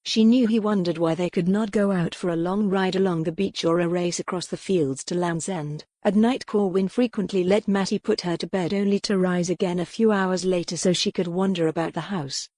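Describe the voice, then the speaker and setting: An audiobook narrator reading a historical romance novel in a measured storytelling manner. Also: medium pitch, steady tone, a little mellow, dry recording.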